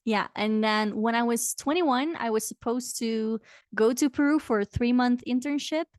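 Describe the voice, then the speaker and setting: A woman's voice: fairly high pitch, moderate pace, dry recording, studio quality. A woman in her twenties from Belgium, a guest on a remote interview, talking in a chatty way and laughing often. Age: 20s. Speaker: guest, health coach. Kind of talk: casual remote interview chat. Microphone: video call microphone.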